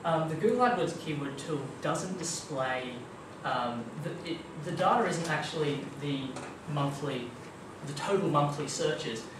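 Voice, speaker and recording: A man's voice: fairly high pitch, animated, unhurried, hardly any pauses. A young Australian man giving a casual, chatty tech talk at a meetup, full of ums and kind-ofs. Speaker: guest speaker at a marketing meetup. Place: dim meeting room with projector screen. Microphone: far room camera. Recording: echoey room, distant camera, noisy.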